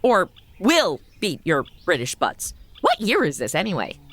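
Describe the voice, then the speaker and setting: A woman's voice: on the low side, huge pitch swings, unhurried, fairly bright tone, dry recording, exaggerated voice. A woman playing a sassy, sarcastic modern wisecracker in a comedic audio drama. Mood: teasing. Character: sassy modern American woman among medieval outlaws.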